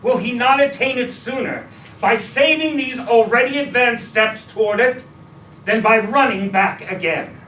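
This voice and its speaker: A man playing an earnest nineteenth-century statesman giving a measured, emphatic political speech in a staged reading. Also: very high voice, sharp tone, exaggerated voice. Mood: earnest, stirring. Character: Abraham Lincoln, statesman orating earnestly to a crowd. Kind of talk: formal 19th-century political oratory. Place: indoor restaurant staged reading. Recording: echoey live restaurant, distant, some noise.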